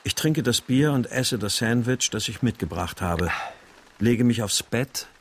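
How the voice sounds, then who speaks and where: An actor playing an exhausted, despairing father, narrating a radio crime drama in a brooding, measured way. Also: mid-range voice, expressive, a little hiss or hum. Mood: weary, brooding. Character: despairing, exhausted father searching for his abducted daughter.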